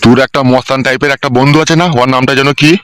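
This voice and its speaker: A man's voice: mid-range voice, level tone, rapid-fire, fairly bright tone, clear, resonant tone, no room sound, studio quality, close to an everyday voice. A man playing a blunt, scolding village uncle in a comedy cartoon, speaking colloquially with a Bengali accent. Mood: grave, plotting.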